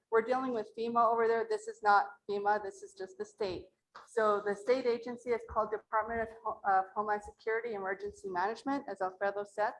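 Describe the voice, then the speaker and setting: A low-voiced woman from Mora, New Mexico, addresses a community meeting in a library hall. She talks in a plain, earnest way with a touch of self-deprecation, at a middle pitch, over faint background noise. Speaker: acequia association representative.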